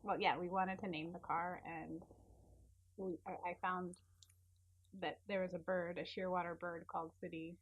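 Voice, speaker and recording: Husky soft-spoken woman from New Jersey. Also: lowish voice, level tone, normal speed, narrow, tinny audio. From New Jersey, United States. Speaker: podcast guest. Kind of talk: casual conversational interview.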